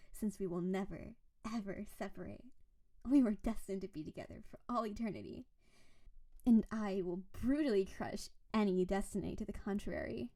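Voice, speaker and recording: A woman's voice: mid-range voice, mellow, natural voice. A woman playing a doting, obsessive, possessive snow-woman spirit wife in an intimate romantic roleplay audio drama, gushing and cooing over the listener with dramatic emphasis. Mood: possessive, menacing.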